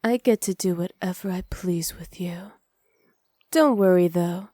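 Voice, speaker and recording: A woman playing a seductive, teasing witch in an intimate ASMR roleplay, sly and possessive, often slipping into breathy whispers close to the microphone. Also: lowish voice, mellow, no echo. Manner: slow, breathy, often whispered, flirtatious purr. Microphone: close studio microphone.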